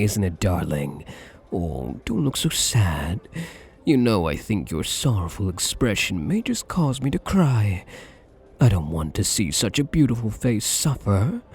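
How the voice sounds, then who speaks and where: A man playing a suave, possessive gambler in an intimate ASMR roleplay, flirtatious and quietly menacing, with a breathy voice and teasing, drawled pet names. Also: fairly low pitch, heavily acted. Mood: smug, then seductive, possessive.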